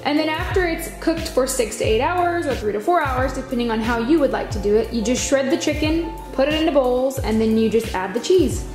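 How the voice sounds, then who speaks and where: High-voiced American woman in an echoey kitchen. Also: lively intonation, fairly quick, few pauses. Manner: chatty, step-by-step instructing. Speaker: home cooking vlogger. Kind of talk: casual recipe demonstration. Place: home kitchen, indoors. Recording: echoey kitchen with music behind. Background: music.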